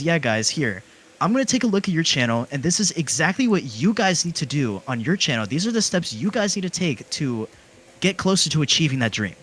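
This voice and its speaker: A man's voice: fairly high pitch, fairly bright tone. A young man in casual conversation, slangy and emphatic in how he makes his points. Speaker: streamer's guest on a call, fellow YouTuber. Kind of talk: casual chat.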